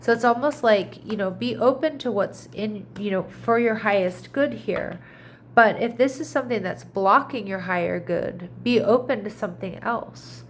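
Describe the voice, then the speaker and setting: A woman with a mid-pitched, slightly congested voice reads tarot cards in a relaxed, chatty way. She reassures the listener and often restarts her sentences as she thinks out loud. A faint hiss sits behind her voice. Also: normal speed. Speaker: tarot reader. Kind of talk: casual tarot reading to camera.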